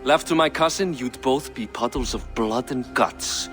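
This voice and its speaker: A man's voice: mid-range voice, measured pace, a bit bright, faint music behind, natural voice. A man voicing a wry, scheming Viking lord. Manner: wry and cocky, coarse asides. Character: sly, scheming male Viking noble, cousin.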